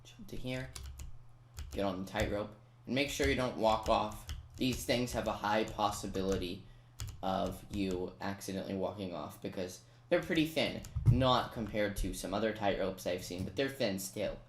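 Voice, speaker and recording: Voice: sits low, normal speed. Casual game guide commentator in an echoey room. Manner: talks directly to viewers, chatty asides. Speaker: video creator giving a game guide. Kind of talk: casual step-by-step gameplay commentary. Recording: roomy echo, noisy, keyboard clicks.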